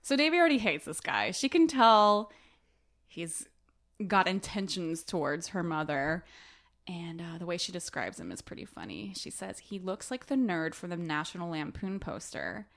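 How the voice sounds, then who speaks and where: A woman's voice: fairly low pitch, big pitch swings, normal speed, creaky, a bit bright. A woman co-hosting a podcast, talking through a book in a casual, conversational way and explaining as she goes. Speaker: podcast co-host. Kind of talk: casual book discussion with recapping.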